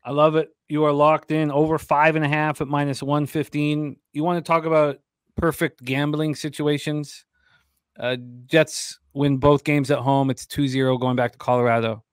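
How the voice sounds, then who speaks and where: Clear, higher-pitched male sports show host. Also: flat delivery, moderate pace, no room sound, studio quality. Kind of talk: sports radio show talk. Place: indoor home studio. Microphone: large desk microphone close to mouth.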